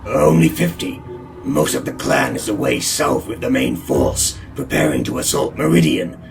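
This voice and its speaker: A man's voice: medium pitch, wildly swooping pitch, moderate pace, creaky, heavily acted. A man playing a dutiful, hesitant vampire clansman in an audio drama, speaking deferentially. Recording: dramatic music behind.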